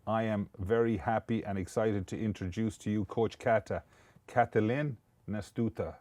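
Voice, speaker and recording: A man with a low, mellow voice hosts a casual podcast interview. He talks in a chatty, rambling way, often restarting his sentences. The sound is close and dry. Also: steady tone, normal speed, no room sound. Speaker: podcast host.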